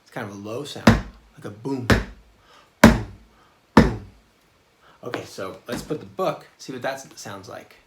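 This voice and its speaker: Man, slow delivery, casual children's drum instruction, halting demonstration, echoey room, loud percussive clatter. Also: medium pitch. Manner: halting, exploratory, sounding out rhythms with his mouth. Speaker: drummer giving a beginner drum lesson. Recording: roomy echo, loud clattering percussion noise. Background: banging on household objects.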